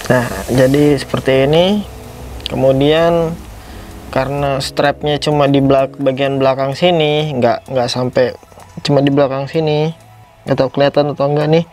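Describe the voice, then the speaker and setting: A man's voice: medium pitch, little pitch movement, moderate pace, darkish tone, faint music behind. A man giving a casual, unscripted product review, rambling a little and repeating himself as host. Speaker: toy reviewer, video host.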